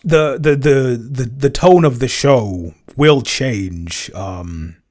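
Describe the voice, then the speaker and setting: Male podcast co-host and composer, medium pitch, informal Q&A chat, reflective and rambling with self-corrections. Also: takes it slowly, darkish tone. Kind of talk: casual Q&A chat.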